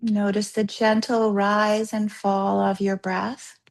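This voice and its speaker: A woman leading a guided yoga nidra practice as a yoga therapist, gently instructing in a soothing, unhurried way with pauses. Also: medium pitch, flat delivery, creaky.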